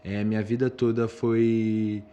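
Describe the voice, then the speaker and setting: A young Brazilian man from Minas Gerais speaks in a fairly low voice. He talks casually and hesitantly as a guest, repeating himself, with faint ambient noise in the recording. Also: level tone, takes it slowly, mellow, muffled recording. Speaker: podcast guest, missionary. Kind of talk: casual personal account.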